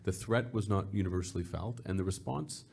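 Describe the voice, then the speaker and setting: Fast-talking deep-voiced male moderator. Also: vocal fry, darkish tone, breathy edge. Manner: quick, loose questions ending in "right?". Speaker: event moderator. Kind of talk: moderated conversation.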